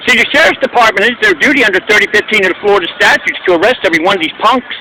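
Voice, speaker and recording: American guy doing pushy street interviews, really high sharp voice, keeps repeating questions, slight vehicle noise. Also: rapid-fire. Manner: insistent, repeats questions and phrases. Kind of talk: persistent street argument, casual talk. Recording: noisy outdoor recording with traffic.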